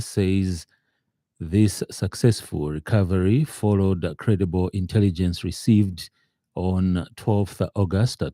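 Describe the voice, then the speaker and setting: A man with a very deep, mellow voice and a Zambian accent reads the radio news. His delivery is formal and halting, and the sound is close and dry. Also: dry recording. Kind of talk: scripted news bulletin. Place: radio studio.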